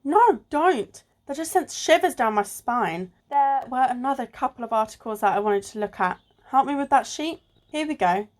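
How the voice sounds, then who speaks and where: A woman playing a clever, level-headed amateur sleuth in an amateur radio play, with a measured delivery. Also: mid-range voice, darkish tone, lightly acted. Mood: thoughtful. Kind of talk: amateur radio play acting, often reading articles aloud. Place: recorded alone at home.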